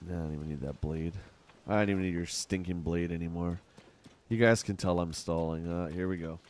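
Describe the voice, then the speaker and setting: A man's voice: low-pitched, steady tone, brisk, darkish tone. A middle-aged man with a Canadian accent, chatting casually with his chat while playing a game on a live stream at home. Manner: rambling, chatty, answers chat.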